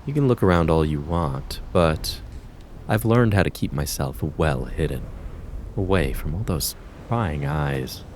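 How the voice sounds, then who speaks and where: A man voicing a deep, menacing kitsune fox spirit. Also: lively intonation, a little mellow, slight background noise. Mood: mocking menace. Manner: taunting, theatrical, drawn-out predatory purr. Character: menacing, mocking kitsune fox spirit who hunts humans in the woods. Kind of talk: ASMR roleplay monologue.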